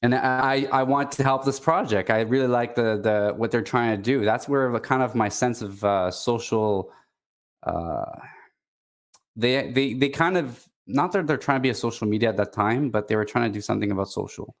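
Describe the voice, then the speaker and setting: Quebecois man speaking in halting restarts. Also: mid-range voice. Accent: Quebecois French. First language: French. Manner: halting, many restarts and fillers. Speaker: guest. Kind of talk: casual chat.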